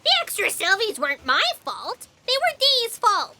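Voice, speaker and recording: A woman's voice: very high voice, very animated, normal speed, background noise, full character voice. A woman playing a chirpy, literal-minded fact checker in a comic sci-fi audio drama, pert, pedantic and defensive.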